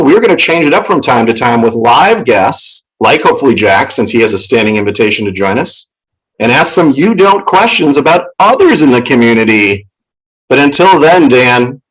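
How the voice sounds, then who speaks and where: A man with a loud voice hosting a casual live stream in a playful, showman-like way, close to the microphone. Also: medium pitch, low-quality recording. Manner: playful showman, theatrical announcing. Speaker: show host. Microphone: close professional microphone on an online call.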